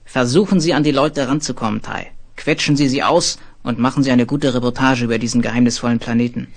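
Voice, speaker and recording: An actor playing a shrewd, middle-aged editor-in-chief in a 1970s German radio play, curt and businesslike. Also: male voice, mid-range voice, steady tone, quick pace, gravelly. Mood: brisk, approving. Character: brisk, shrewd magazine editor-in-chief, a commanding boss.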